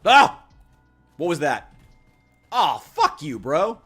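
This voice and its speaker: Guy streaming a game, swears a lot, pitch all over the place, quiet music behind. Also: slow pace, breathy edge. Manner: animated and profane, exhorting chat. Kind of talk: casual livestream chat while gaming. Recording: music playing faintly behind.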